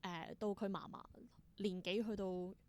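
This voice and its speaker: A woman's voice: mid-range voice, fairly even intonation, unhurried, a little mellow. A woman with a Hong Kong Cantonese accent chatting as an interview guest, telling stories with enthusiasm and dropping in English words. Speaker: interview guest, independent theatre performer. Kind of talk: casual interview chat.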